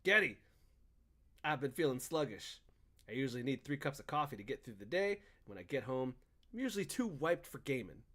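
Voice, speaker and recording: A male podcast host with a very lively, mid-pitched voice. He talks casually and emphatically, mixing chatty banter with a loose promotional read. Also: brisk.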